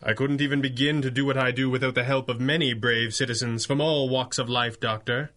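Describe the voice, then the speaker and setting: A man playing a brainy, courteous masked hero in a 1930s-style radio drama, speaking crisply and earnestly in formal, deliberate phrases. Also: mid-range voice, steady tone, sharp tone, lightly acted. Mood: polite, earnest. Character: masked male crime-fighting hero, brainy, courteous, commanding.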